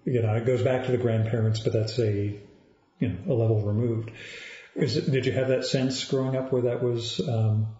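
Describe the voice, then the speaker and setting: A man's voice: medium pitch, flat delivery, moderate pace, warm tone. A man hosting a podcast, asking long, probing interview questions. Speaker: podcast host. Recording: roomy, slightly dull lo-fi sound.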